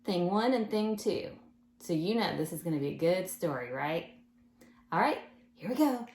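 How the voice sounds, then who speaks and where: A woman reading a picture book aloud to children in an animated way, pausing to explain things patiently in simple words. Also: lowish voice. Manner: animated, acts out animal sounds, addresses young listeners. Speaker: read-aloud storyteller for children.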